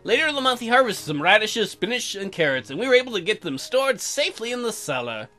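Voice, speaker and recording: A man acts out a young colonist wife from a visual novel game. The voice is high and girlish, animated and chirpy, turning testy easily. Music plays underneath. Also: fast talker, heavily acted. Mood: narrating, upbeat. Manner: high-pitched girlish put-on, narrating and bickering. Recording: music behind.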